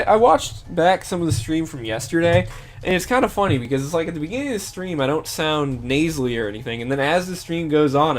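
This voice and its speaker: A young man chatting casually while streaming a game, stuffy-nosed and sniffling, rambling with many fillers. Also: on the high side. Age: young adult. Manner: rambling, lots of fillers and self-corrections. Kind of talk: casual gameplay stream chat.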